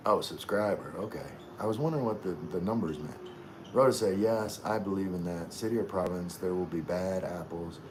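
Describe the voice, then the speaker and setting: A man from Louisiana hosting a casual livestream, reading chat comments aloud and answering in a relaxed, rambling way full of fillers and restarts. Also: sits low, noisy background.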